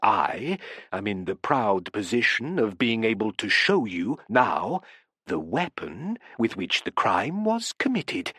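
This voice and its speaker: A man reading an audiobook, voicing a courteous, probing male professor who speaks softly and gently presses with questions. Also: fairly low pitch, big pitch swings, slow pace, slightly rough, dry recording, studio quality, pitched below the performer's own voice, exaggerated voice. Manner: soft, interested, courteous.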